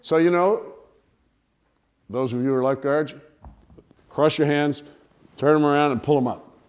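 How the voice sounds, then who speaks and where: A man telling a humorous personal anecdote to listeners in an animated, theatrical way. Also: a little high, normal speed. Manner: animated, theatrical storytelling with acted-out cries and dialogue. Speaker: storyteller, speaker to an audience.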